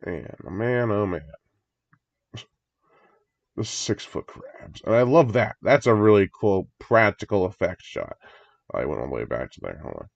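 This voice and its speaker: A man's voice: lowish voice, sing-song, a little slow, vocal fry, pauses often, no echo. A man with a congested voice from a recent cold, chatting eagerly and rambling as he reacts to a horror movie trailer. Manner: enthusiastic, rambling, chuckles often. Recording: dry, dull lo-fi home recording.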